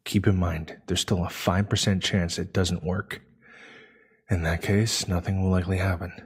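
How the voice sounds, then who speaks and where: An actor playing a nervous, secretive space station saboteur with a cautious manner in an audio drama. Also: male voice, low-pitched, level tone, moderate pace, breathy edge, full character voice. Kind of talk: sci-fi audio drama acting.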